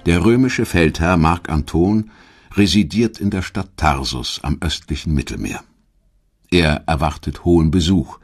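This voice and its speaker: A man reading a scripted audio documentary in a measured, dramatic storytelling manner, with a husky voice. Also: rumbling low. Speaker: documentary narrator. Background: background music.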